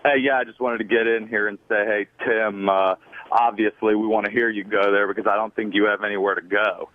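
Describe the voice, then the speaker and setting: A man's voice: lowish voice, flat delivery, brisk. A man calling in to a radio show, chatting casually. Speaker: phone-in caller. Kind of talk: casual chat. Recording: muffled phone line to radio show.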